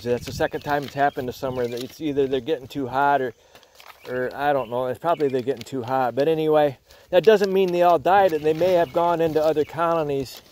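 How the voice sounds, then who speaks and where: A man's voice: on the high side, a little mellow, a little hiss or hum. Older man talking while tending beehives. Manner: rambling, frequent restarts, gripes about other beekeepers. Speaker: beekeeper. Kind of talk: casual hands-on demonstration. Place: outdoors among beehives in a yard.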